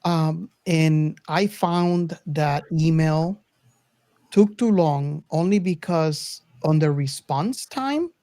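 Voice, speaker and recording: A man's voice: a little high, slow pace, warm tone, no room sound. A middle-aged man in casual podcast conversation, explaining things conversationally and voicing other people's reactions. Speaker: podcast co-host. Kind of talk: casual chat, reminiscing.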